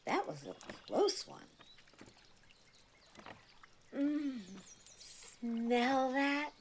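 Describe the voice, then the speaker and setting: A woman's voice: high-pitched, big pitch swings, extremely slow, exaggerated voice. Casting: eerie, dreamy female guide, radio theatre. Lilting, sweeping intonation over faint ambient noise. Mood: sly, teasing. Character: eerie, dreamy, enigmatic woman guiding through a foggy swamp.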